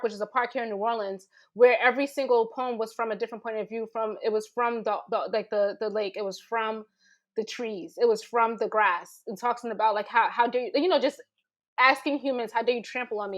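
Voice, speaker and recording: A woman's voice: a little high, fairly even intonation, fairly quick. A woman who teaches writing, talking informally on a panel, emphatic and often rephrasing herself as she explains. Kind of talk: informal panel discussion talk.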